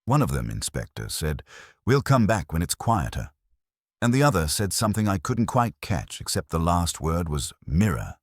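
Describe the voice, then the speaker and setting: A man's voice: low voice, big pitch swings, moderate pace, no echo, clean studio sound. A man reading a frail, pious eccentric lodger in a mystery story, grave, fussy and pedantic. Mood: grave, self-important.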